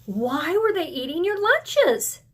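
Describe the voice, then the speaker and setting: A disbelieving storybook parent, read aloud by a woman, questions a child. The words come slowly and deliberately, in an incredulous, questioning manner. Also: extremely high pitch, very animated, voice pushed up, exaggerated voice. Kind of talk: picture book read-aloud.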